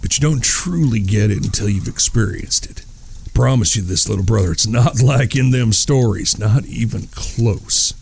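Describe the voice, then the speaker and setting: An actor playing a stern, protective outlaw older brother in a Western audio drama, with an American Southern accent and a folksy, commanding way of talking. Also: male voice, lowish voice, normal speed, creaky, a little mellow, a little hiss or hum. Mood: earnest, reassuring.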